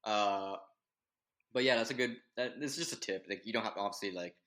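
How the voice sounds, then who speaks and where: A reserved young man speaking in a monotone as a guest in a casual podcast chat. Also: fairly low pitch, a little fast. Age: young adult.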